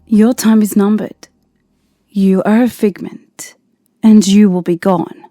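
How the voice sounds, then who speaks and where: A man's voice: high voice, fairly even intonation, takes it slowly, mellow, no room sound, pitched above the performer's own voice. A man voicing a regal, composed immortal princess who speaks firmly and with dignity. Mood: defiant. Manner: firm and dignified.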